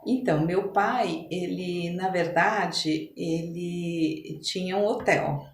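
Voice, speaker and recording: Slow-talking older São Paulo woman, echoey room. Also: fairly low pitch. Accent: Brazilian, São Paulo. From Brazil. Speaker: interview guest, physician. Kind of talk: conversational life-story interview. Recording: roomy echo, noticeable background ambience.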